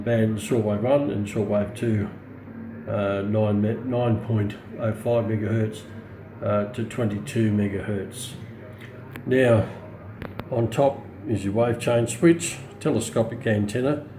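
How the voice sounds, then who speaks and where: Middle-aged Australian male, hobbyist reviewer, soft-edged warm tone, halting off-the-cuff delivery, echoey room. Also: fairly low pitch. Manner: hesitant, unscripted, pausing often. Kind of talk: casual product demonstration. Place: indoors on a hard countertop, small room. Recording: roomy echo, faint background noise.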